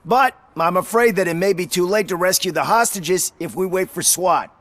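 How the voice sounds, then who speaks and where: Exasperated game police officer: high male voice, dry close sound, sardonic and incredulous briefing. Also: normal speed, fairly bright tone, no echo. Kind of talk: video game voice acting.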